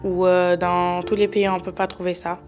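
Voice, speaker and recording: Flat, lower-voiced young female non-native speaker. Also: normal speed, over music. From southeastern Europe. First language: not French. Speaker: newly arrived student. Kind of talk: scripted personal narration.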